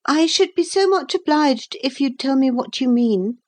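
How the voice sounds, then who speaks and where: A woman's voice: high-pitched, lively intonation, normal speed, a little mellow, pitched above the performer's own voice. A woman voicing a proud, polite young boy with an earnest, formal manner in a storybook. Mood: brave, polite.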